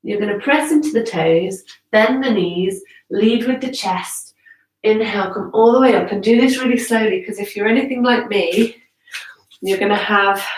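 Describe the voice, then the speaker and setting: Female yoga instructor, slightly stuffy nose, measured guiding cues with casual asides, recorded in a roomy space away from the mic. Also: mid-range voice. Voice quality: slightly congested, bunged-up nose. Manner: measured, pausing between cues, conversational asides. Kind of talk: live guided yoga class, instructional talk. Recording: roomy echo, distant from the mic.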